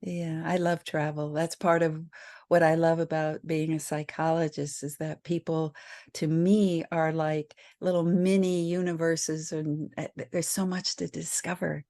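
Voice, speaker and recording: A woman's voice: low-pitched, level tone. An older woman, a psychologist, hosting a podcast interview in casual chat, laughing often. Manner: slow, warm, laughs often.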